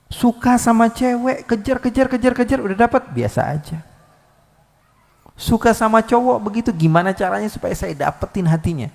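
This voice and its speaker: A male preacher giving a live Islamic sermon, lively, swinging between loud calls and soft asides with many rhetorical questions. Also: high voice, creaky, long reverb tail. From Indonesia. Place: large hall at a live religious gathering.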